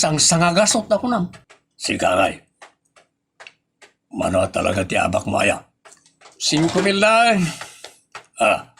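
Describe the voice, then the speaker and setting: A man acting an excitable, boastful gambling husband in a comedy audio drama, bantering defensively with exaggerated delivery. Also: fairly high pitch, gravelly, a little hiss or hum, heavily acted.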